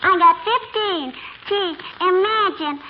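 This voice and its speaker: A woman's voice: very high-pitched, slow pace. A woman playing a chatty, pestering little neighbor girl with a childish manner in a 1940s radio comedy. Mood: chatty, eager. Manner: childish, repeats "I betcha" and "hmm?". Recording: noisy, muffled old radio recording.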